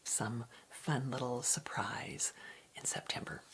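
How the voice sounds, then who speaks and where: A woman giving an ASMR card reading in a soft, breathy whisper, with a gentle, reflective, unhurried manner. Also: very deep voice, fairly even intonation, poor audio. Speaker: card reader.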